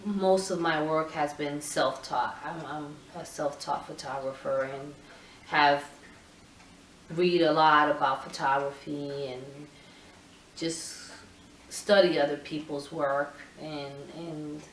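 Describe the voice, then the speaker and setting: Low-voiced middle-aged woman from puerto rico, slow and halting, roomy sound from a distance. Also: steady tone, a little hiss or hum. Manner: thoughtful, halting, many restarts. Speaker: photographer. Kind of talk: interview answers. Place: indoor room with her camera collection.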